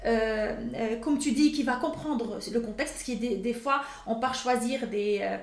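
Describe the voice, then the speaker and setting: A woman's voice: on the high side, moderate pace. A woman who teaches the Quran, with Arabic as her first language, talking in an interview, hesitant with many fillers and restarts. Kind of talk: casual interview talk. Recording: echoey room.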